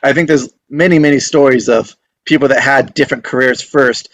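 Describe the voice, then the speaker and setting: A man's voice: medium pitch, steady tone, a little fast, dull audio. A man hosting an online table read, chatting in an informal, appreciative way. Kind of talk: casual group video-call chat.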